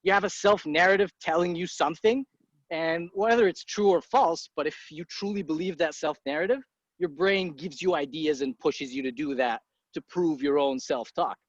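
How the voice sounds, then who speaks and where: A man in his thirties from Egypt, a podcast guest talking casually and bluntly, swearing freely and tossing off quick asides. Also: on the high side, no room sound. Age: 30s. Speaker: guest, entrepreneur. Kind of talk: casual interview talk. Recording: dry muffled podcast audio.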